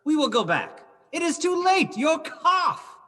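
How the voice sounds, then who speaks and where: A man's voice: extremely high pitch, sing-song, unhurried, bright, forceful tone, full character voice. A man playing a scheming nobleman who feigns concern for his friend, theatrical and coaxing, in a period stage drama. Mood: feigned concern. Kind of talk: theatrical period drama acting over video call. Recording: home video call recording with music.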